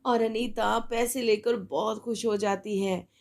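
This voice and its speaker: A woman's voice: mid-range voice, fairly even intonation, measured pace, darkish tone. A woman narrating a scripted children's fairy tale as the storyteller.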